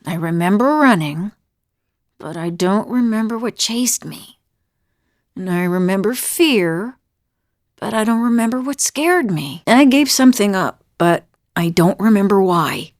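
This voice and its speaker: A woman's voice: medium pitch, animated, a little slow, dry recording, studio quality, close to an everyday voice. An actress playing a wry, world-weary adventurer woman in a video game, conversational and reflective, as if haunted by old memories. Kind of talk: video game character dialogue.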